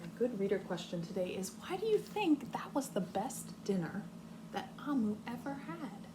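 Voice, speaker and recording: A woman teacher reading a story aloud to young children in an expressive, deliberate way, pausing to put questions to them. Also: mid-range voice, background noise.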